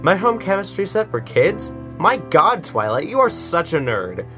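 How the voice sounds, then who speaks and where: A man voicing a teasing, bratty ten-year-old girl who whines loudly. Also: on the high side, very animated, measured pace, pitched above the performer's own voice. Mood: excited teasing. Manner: whiny, teasing and loud. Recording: soft music behind.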